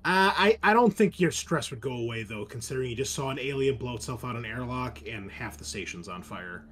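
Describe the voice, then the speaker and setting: A man's voice: mid-range voice, expressive, fairly quick, a bit bright. A man hosting a tabletop game as game master, chatting casually in a blunt, joking way.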